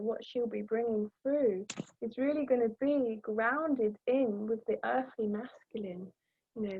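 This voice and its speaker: A woman giving a flowing, gentle spiritual talk on astrology, filling her sentences with small fillers as she goes. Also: a little high, slightly rough. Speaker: astrology presenter. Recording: tinny phone-like sound.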